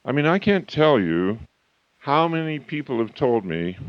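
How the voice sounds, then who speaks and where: A man in his fifties or older giving a motivational talk as a coach and author, emphatic and slowing down hard on key points. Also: mid-range voice, sing-song, no room sound. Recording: slightly muffled, dry recording.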